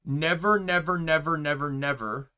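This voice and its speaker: A young man streaming, chatting casually with a loud voice, rambling with lots of fillers and restarts. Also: a little high, normal speed, clean tone.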